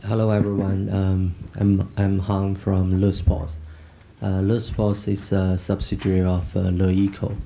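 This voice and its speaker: A man panelist introducing his company slowly and hesitantly, stumbling over words. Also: low voice, completely flat delivery, gravelly, dark tone, slight background noise. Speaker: panelist, sports company representative.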